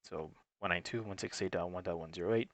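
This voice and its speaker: A man's voice: sits low, quick pace, dry recording. A man giving an unscripted, matter-of-fact, step-by-step tutorial in an instructional way. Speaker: technical support presenter.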